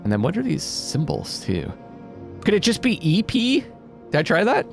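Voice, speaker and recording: A man's voice: sits high, huge pitch swings, moderate pace, creaky. A man giving casual gaming commentary, thinking aloud, questioning himself and reading out bits of on-screen text. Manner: musing, often questioning himself. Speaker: let's play host. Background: game music.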